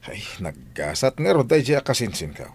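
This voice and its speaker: A man's voice: mid-range voice, big pitch swings, moderate pace, darkish tone, slightly rough. An actor with an Ilocano Filipino accent playing a gossipy male buddy in a radio drama. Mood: chatty. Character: animated male buddy gossiping about his cousin.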